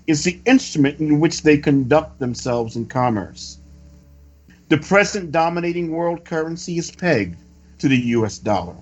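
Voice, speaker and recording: A man reading a scripted sermon-like report in a solemn, formal, measured oratorical manner. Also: a little high. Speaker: religious reader delivering a prophecy report. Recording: dull lo-fi recording with music behind. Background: music.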